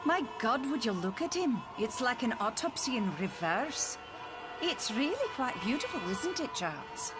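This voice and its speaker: A woman playing a brisk, acerbic middle-aged doctor in a motion comic. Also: a little high, very animated, over loud music. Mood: awed.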